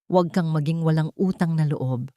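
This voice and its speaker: A woman's voice: sits low, moderate pace, warm tone, no room sound, muffled recording, natural voice. A woman voicing a haughty, spiteful elderly rich mother-in-law in a scornful, domineering manner for an audio story. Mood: menacing, scornful.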